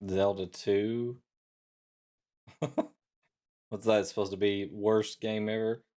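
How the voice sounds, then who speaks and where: A man's voice: lowish voice, level tone, slow, mellow. A middle-aged man talking casually as co-host of a talk show. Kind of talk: casual chat.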